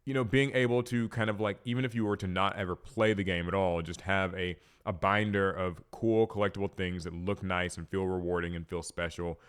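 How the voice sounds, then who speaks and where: A man's voice: low-pitched, steady tone, a little fast, few pauses, smooth. A young man hosting a card game podcast, talking casually on his own, a bit stuffy from a cold, rambling with lots of fillers and restarts. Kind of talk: casual solo talk to camera.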